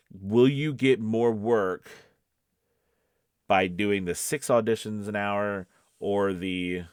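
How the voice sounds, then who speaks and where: Low-pitched man giving emphatic coaching advice. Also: no room sound, clean studio sound. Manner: emphatic, conversational, repeats points for stress. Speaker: voice-over coach and casting professional. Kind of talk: casual instructional advice to camera.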